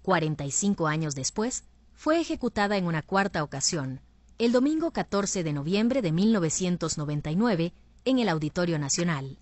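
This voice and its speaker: A woman presenting a radio programme, reading scripted documentary narration in a formal, measured way. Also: on the low side, big pitch swings, a little mellow, no echo.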